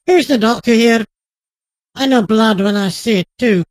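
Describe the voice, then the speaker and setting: A woman acting a stern, sharp-tongued doctor in a radio drama, with a commanding, no-nonsense manner. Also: a little high, moderate pace, no echo, clean studio sound, natural voice.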